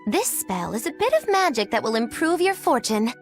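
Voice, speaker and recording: A high-voiced young witch in a video game. She is flippant and theatrical, swooping through teasing, grandiose lines like a stage fortune teller. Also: big pitch swings, normal speed, faint music behind. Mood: teasing, breezy. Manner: teasing, grandiose old-fashioned wording.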